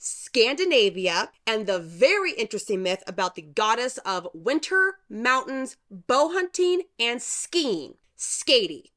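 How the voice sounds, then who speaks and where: A woman's voice: fairly high pitch, very animated, unhurried, hardly any pauses, fairly bright tone. A young woman hosting her channel, chatty and playful, laughing often, with a congested nose. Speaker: YouTube host. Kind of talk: casual chatty storytelling vlog. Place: home room with humidifier.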